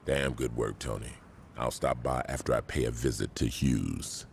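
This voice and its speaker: Very deep, gravelly gang boss. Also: male voice, fairly even intonation, a little hiss or hum. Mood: calm. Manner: slow, authoritative, stern. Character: calm, commanding older male gang boss. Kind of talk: video game cutscene acting.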